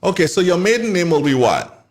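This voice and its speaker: A man's voice: high voice, big pitch swings, fairly quick. A man hosting a morning radio show, chatty and teasing, often repeating his phrases. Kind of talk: casual radio interview chat.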